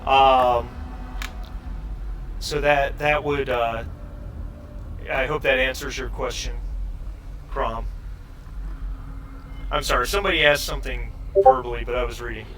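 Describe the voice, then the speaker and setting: A man running a tabletop game as game master, narrating off the cuff in a halting way, often repeating and restarting his phrases. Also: medium pitch, steady tone, a bit bright. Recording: noisy, distant microphone.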